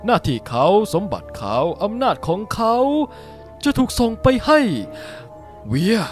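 A scheming, condescending bird demon aristocrat in a cartoon. The pitch swoops dramatically in a theatrical delivery, over faint music. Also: male voice, sing-song, unhurried. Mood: sly, condescending. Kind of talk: Thai fan-dub cartoon acting.

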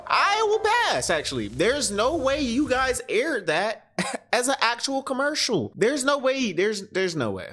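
A man's voice: very high voice, huge pitch swings, unhurried, fairly bright tone. A man giving casual, slangy, exclamatory reaction commentary as a host. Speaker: host reacting to horror videos.

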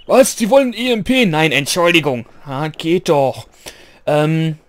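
A man talks loosely over his gameplay in a voice roughened by hoarseness, pitched a little high. He rambles from one thought to the next in a casual, chatty way. Now and then he stops to clear his throat. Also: measured pace, no room sound. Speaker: let's play gamer.

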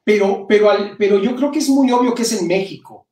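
A man's voice: sits high, brisk, mellow, recorded from a distance. A middle-aged Mexican man chats as a guest, his accent lightly colored by Spain. He often restarts his phrases as he explains things. The sound is roomy and set back from the microphone, like a video call from home. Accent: Mexican Spanish with some Spain influence. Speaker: interview guest, novelist. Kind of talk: casual interview talk.

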